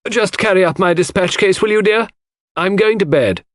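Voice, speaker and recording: Man putting on a high, commanding elderly lady. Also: normal speed, no room sound, clean studio sound, lightly acted. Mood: brisk, commanding.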